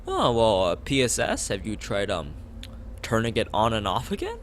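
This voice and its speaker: A man's voice: fairly low pitch, huge pitch swings, normal speed, slight background noise. A young man reading a game's text aloud on a casual stream, rambling into asides and stumbling over lines. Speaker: streamer playing a visual novel.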